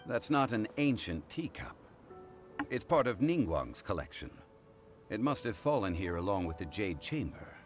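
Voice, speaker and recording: An actor playing a calm, knowing, learned man who explains in measured video game dialogue. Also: on the low side. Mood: composed, explanatory. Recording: game music behind the voice.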